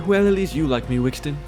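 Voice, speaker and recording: An actor voicing a charismatic demon fiddler, roguish and protective with a wounded side, in a theatrical audio drama style. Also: male voice, fairly high pitch, lively intonation, normal speed, music in the background, close to an everyday voice. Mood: hurt.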